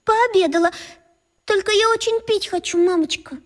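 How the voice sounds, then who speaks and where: A woman playing an earnest, hesitant young schoolgirl in a radio drama, speaking haltingly. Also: extremely high pitch. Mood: sheepish.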